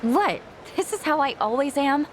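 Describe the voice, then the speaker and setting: A woman's voice: sits high, expressive, normal speed, a little hiss or hum. A woman voicing a guarded young woman in a video game drama, sardonic and teasing, with a hesitant delivery. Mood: sarcastic, brisk. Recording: music behind the voice.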